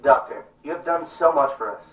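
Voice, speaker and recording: A man's voice: fairly high pitch, a little fast, close to an everyday voice. A man playing a proud, hospitable villager in a puppet show, speaking emphatically. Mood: grateful. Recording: echoey distant dull stage recording with music.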